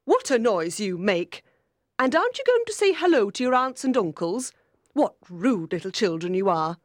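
A woman's voice: mid-range voice, sing-song, moderate pace, dry recording, clean studio sound, natural voice. Woman voicing a haughty, scolding older aunt. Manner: haughty, critical. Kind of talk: audiobook character voice.

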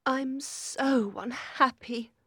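A woman voicing a spoiled, unhappy young woman who talks softly and pleads for advice in an audiobook reading. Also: on the high side, very animated, a little slow, dry recording, studio quality. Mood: pleading. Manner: soft, pleading, self-absorbed. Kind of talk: audiobook character voice.

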